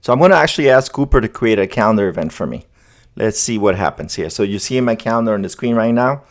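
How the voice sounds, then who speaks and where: A man's voice: on the low side, lively intonation, fast talker. A man hosting a casual, conversational demo, talking viewers through each step as he goes. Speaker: host demonstrating an AI agent setup. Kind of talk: casual screen-demo narration.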